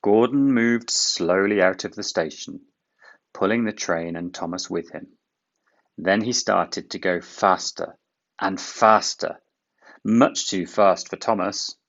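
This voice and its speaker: A man reading a children's book aloud in expressive storybook narration. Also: sits low, takes it slowly, creaky, dull audio.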